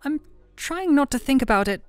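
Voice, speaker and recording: A woman's voice: high-pitched, expressive, moderate pace, no echo. A woman playing a playful, affectionate young best friend in an ASMR roleplay, chatting casually and teasing her listener. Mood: warm, enthusiastic. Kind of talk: ASMR roleplay audio, conversational acting. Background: soft background music.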